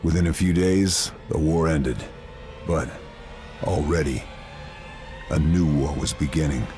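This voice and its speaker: Game narrator: steely, weary wartime sniper, extremely low voice, terse grave delivery, scripted cinematic read. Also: male voice, measured pace, mellow, soft background music. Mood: somber, reflective. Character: weary, steely American WWII sniper recounting his mission.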